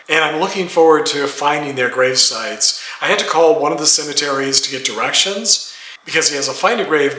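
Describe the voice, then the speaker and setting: A man's voice: on the high side, bright, forceful tone, roomy sound, low-quality recording. An older man with a congested, stuffy voice, chatting casually in a home vlog and often correcting himself as he rambles. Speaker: vlogger. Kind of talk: casual talking-head vlog. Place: home in a desert town.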